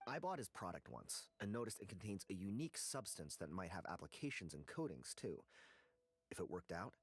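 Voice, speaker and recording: A man's voice: sits low, very animated, fairly quick, breathy edge, heavily acted. A man playing a disgruntled, jealous researcher in a video game, curt, defensive and grumbling. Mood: aggrieved. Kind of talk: video game character dialogue.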